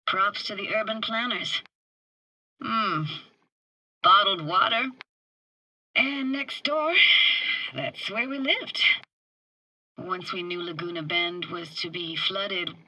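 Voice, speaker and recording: An actress playing a tough, sardonic but warm-hearted young woman in a video game, talking in casual, clipped slang. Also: on the low side, heavily acted, rough recording. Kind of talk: naturalistic video game voice acting.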